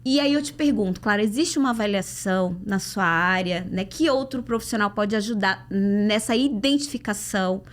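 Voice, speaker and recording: Casting: female podcast host, Brazilian Portuguese accent, medium pitch, conversational, roundabout interviewing with tag questions. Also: moderate pace, hardly any pauses.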